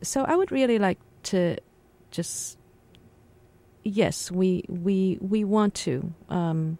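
A woman from Shanghai, China, speaking haltingly and reflectively as a guest on a radio interview, recorded in a radio studio. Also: medium pitch, expressive, dark tone. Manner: halting, reflective, many pauses and restarts.

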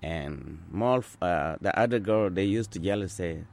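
A man in his sixties from Sudan, an Azande speaker talking in English, answering interview questions in an earnest, unhurried way with frequent pauses and restarts. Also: sits low, lively intonation, gravelly. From South Sudan. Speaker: interviewee, translator. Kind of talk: conversational interview.

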